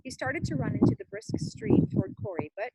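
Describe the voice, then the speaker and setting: Female storyteller, fairly high and creaky, dramatic read-aloud delivery, slight background noise. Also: sing-song, fairly quick. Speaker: reader reading a children's novel aloud. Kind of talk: expressive read-aloud narration.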